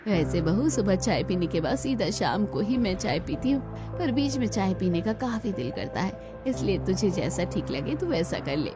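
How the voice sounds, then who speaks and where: A woman's voice: mid-range voice, very animated, normal speed, natural voice. A woman voicing an older village mother-in-law, proud, practical and kindly, in a cartoon story. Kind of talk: scripted cartoon story dialogue. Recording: music behind the voice.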